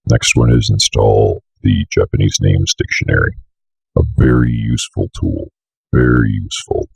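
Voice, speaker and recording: Very deep, gravelly young man. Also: steady tone, normal speed, dry recording, low-quality recording. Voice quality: gravelly, raspy. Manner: offhand, muttering to himself while working. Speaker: tutorial host. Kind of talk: casual unscripted software walkthrough.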